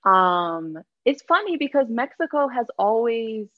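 A woman's voice: fairly high pitch, big pitch swings, unhurried, no room sound, highs cut off. A woman from New York, born in Jamaica, talking casually as an interview guest and looping back over her phrases in an informal, rambling way. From Jamaica-born, raised in Yonkers and Mount Vernon, New York. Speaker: podcast guest, travel writer.

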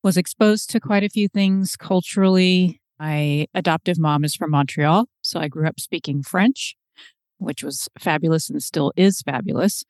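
A woman's voice: on the low side, moderate pace, a little mellow, no room sound, studio quality. A middle-aged woman from Seattle, a podcast guest, reflecting on her life in a chatty, storytelling way. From Seattle, USA. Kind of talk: conversational interview.

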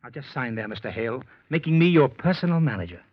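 An actor playing an eager, scheming young talent manager, hesitant at first and then persuasive, in 1940s radio acting. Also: male voice, medium pitch, expressive, fast talker, loud music behind the voice, close to an everyday voice. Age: young adult. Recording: dull, dry old radio recording.

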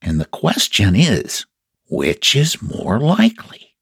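A man's voice: lowish voice, huge pitch swings, slow pace, creaky, fairly bright tone, slightly rough, no echo, studio quality, heavily acted. A man voicing a small, earnest toy bear from a children's storybook, ponderous and solemn as he schemes. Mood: thoughtful, solemn.

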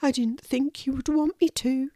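A woman's voice: high voice, fairly even intonation, normal speed, mellow, dry recording, clean studio sound, pitched above the performer's own voice, close to an everyday voice. A woman voicing a small, shy, earnest young female squirrel in a children's storybook, with a little, apologetic voice. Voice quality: little, thin voice.

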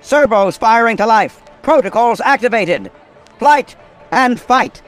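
An actor playing a grandiose, melodramatic narrator of an old-time pulp hero radio serial. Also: male voice, extremely high pitch, lively intonation, measured pace, a bit bright, faint music behind, heavily acted. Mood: urgent. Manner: dramatic, grandiose.

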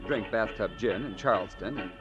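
A man's voice: lowish voice, normal speed, natural voice. An actor playing an eccentric, coy and catty man with a mannered, teasing delivery, in old-time radio drama style. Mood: catty, reminiscing. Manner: mannered, hesitant, teasing digressions. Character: affected, eccentric twin brother, coy and catty. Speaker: actor in a radio detective series. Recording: muffled vintage radio recording, music behind.

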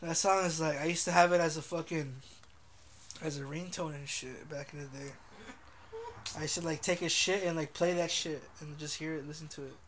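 A young man with a fairly high voice chats in a loose, profane way, letting his sentences trail off. A faint hiss sits under the recording. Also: fairly even intonation, a little fast, fairly bright tone. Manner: laid-back, swears constantly, trails off. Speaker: podcast co-host. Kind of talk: casual profane chat.

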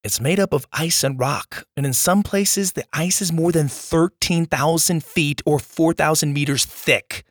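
A man's voice: fairly high pitch, unhurried, hardly any pauses, no room sound, clean studio sound. A man hosting a children's podcast, chatting casually and enthusiastically to young listeners in a voice strained from nearly being lost. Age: adult, father of young children. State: strained voice, recovering from nearly losing it. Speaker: host and storyteller of a children's podcast.

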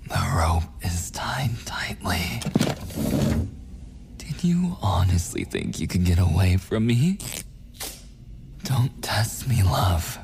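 An actor playing a possessive, obsessive and menacing young boyfriend in an intimate ASMR audio roleplay, slipping into breathy whispers. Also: male voice, low voice, big pitch swings, slow, long pauses, music in the background, full character voice. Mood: cold, threatening.

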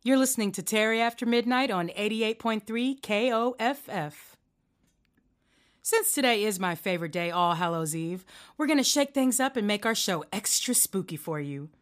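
A woman's voice: medium pitch, animated, normal speed, close to an everyday voice. An actress playing a wry late-night radio host, presenting to her listeners. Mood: cheerful, teasing.

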